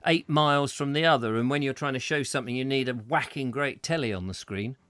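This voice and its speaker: A man's voice: mid-range voice, brisk, fairly bright tone, no echo. A middle-aged English man hosting a comic chat show from a small home studio, playing up a stuffy persona and dropping to a mutter for his jokes. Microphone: headphones, desk mic.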